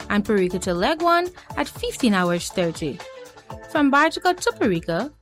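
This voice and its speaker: Woman announcer, high and lively pitch, formal schedule read over faint music. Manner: measured, formal reading. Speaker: radio announcer. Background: faint music bed.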